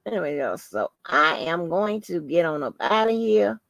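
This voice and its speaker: A woman hosting a casual livestream, rambling informally to her viewers in a soft, calming voice. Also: on the low side, normal speed, vocal fry, dry recording. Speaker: livestream host.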